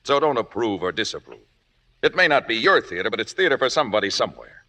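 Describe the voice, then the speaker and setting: Brash, animated young theater director. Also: male voice, on the low side, fast, heavily acted. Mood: fiery, lecturing. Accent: American. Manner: emphatic, lecturing, wry. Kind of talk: 1950s radio drama acting.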